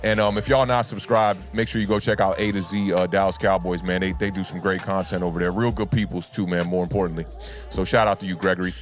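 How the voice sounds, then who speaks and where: A young adult man with a deep baritone voice, under the weather, hosting a casual sports livestream and chatting with fans. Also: little pitch movement, quick pace, soft background music. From United States. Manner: laid-back, repeats "man" and "y'all know what it is". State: under the weather, deeper voice than usual. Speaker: sports talk livestream host.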